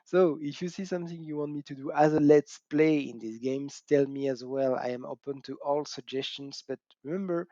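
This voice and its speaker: A man's voice: on the high side, normal speed, few pauses, dark tone, dry recording. A middle-aged man with a French accent hosting a casual gaming channel, chatty and self-mocking, laughing often and whooping. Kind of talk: casual talk to camera. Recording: dull cheap mic, dry room.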